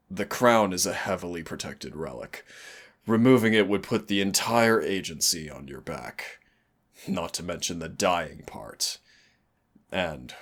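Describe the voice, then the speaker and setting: A brooding immortal demon voiced by a man speaks in a very deep voice. He takes his time over each phrase, suave and darkly affectionate, with solemn drama suited to an intimate audio roleplay. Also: fairly bright tone, full character voice. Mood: wry, cautioning, then grave, vengeful. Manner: teasing endearments, measured, solemn dramatic delivery. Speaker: demon hunter's mentor and partner.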